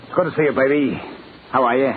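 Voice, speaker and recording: An actor with an American accent playing a slick, pushy small-time swindler in old-time radio drama. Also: male voice, medium pitch, fast talker, natural voice. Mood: smug, coaxing. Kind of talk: 1950s radio drama acting. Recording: tinny, hissy old radio recording.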